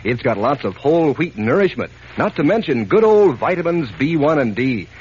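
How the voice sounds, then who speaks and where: A man's voice: big pitch swings, normal speed, a little mellow, breathy edge. An American man announcing in a folksy, enthusiastic 1940s radio advertising style aimed at children. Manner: folksy, enthusiastic sales pitch. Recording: dull old radio recording, faint noise.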